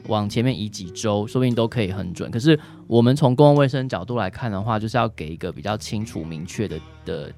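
A man's voice: fairly low pitch, expressive, quick pace, gravelly, hardly any pauses, warm tone, faint music behind. A man with a Taiwanese accent, a doctor explaining conversationally as a radio guest, often ending phrases with short tag particles. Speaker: doctor answering questions, guest.

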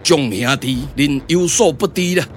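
A man's voice: on the high side, unhurried, voice pushed down, close to an everyday voice. A man with a Taiwanese accent playing an imposing, righteous rebel leader, solemn and formal, declaiming in a puppet radio drama. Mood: measured, solemn. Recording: music under the voice.

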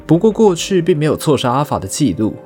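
A man's voice: a little high, very animated, a little mellow, faint music behind. A man playing a thoughtful, courteous university professor in an audio drama, pondering as he explains. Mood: scholarly, cautious. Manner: measured, scholarly, pondering.

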